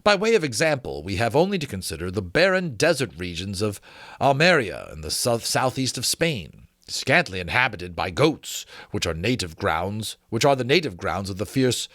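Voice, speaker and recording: A man reading a book aloud as its host, in an expressive, emphatic, dramatic storytelling style with a casual touch. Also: on the low side, normal speed, no room sound, clean studio sound. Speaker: host reading a novel aloud with his own asides.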